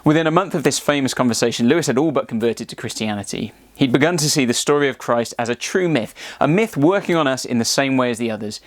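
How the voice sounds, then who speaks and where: A man's voice: medium pitch, lively intonation, fast talker, few pauses, dry recording. Man narrating, very dry close sound. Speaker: presenter. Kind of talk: scripted narration, explanatory.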